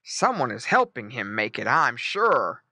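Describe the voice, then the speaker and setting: A woman voicing a fat, placid father pig with a measured, matter-of-fact manner, in a storybook reading. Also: rumbling low, sing-song, bright, forceful tone, no room sound, voice pushed down, exaggerated voice. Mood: thoughtful.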